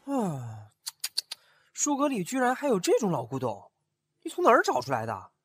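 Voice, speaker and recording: A man's voice: high-pitched, wildly swooping pitch, moderate pace, a bit bright, slightly rough, heavily acted. A man playing a chatty, naive young master. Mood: curious. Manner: eager, questioning, chatty.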